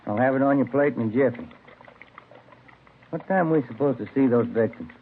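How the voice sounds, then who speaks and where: A man's voice: medium pitch, a little fast, close to an everyday voice. Fussy, homely detective sidekick played by a man. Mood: homely, easygoing. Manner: homely, chatty, mildly fussing. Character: fussy, good-natured married police detective partner. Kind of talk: 1950s radio drama acting, naturalistic. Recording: tinny hissy vintage radio recording.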